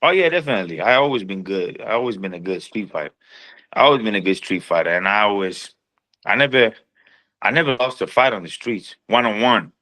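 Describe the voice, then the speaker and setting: A man's voice: fairly low pitch, lively intonation, brisk, pushed and bright. A man, a boxer talking in a laid-back, slangy way as a podcast guest. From Sweden. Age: 30s. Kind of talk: casual chat.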